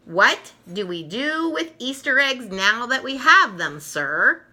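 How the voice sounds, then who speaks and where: A woman acts out a naive, polite young girl in a storybook. She sounds earnest and deferential, bright and lifted in pitch, speaking unhurriedly. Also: big pitch swings, slow pace, exaggerated voice. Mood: polite, puzzled.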